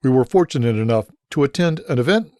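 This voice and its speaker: A man's voice: mid-range voice, expressive, quick pace, dark tone, no room sound. A man narrating and asking curious questions in a casual travel vlog. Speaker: travel vlogger.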